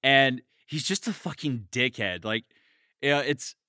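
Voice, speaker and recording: A man hosting a casual podcast interview, bantering and excitable, swearing freely. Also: medium pitch, measured pace, smooth, no echo, studio quality. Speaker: podcast host.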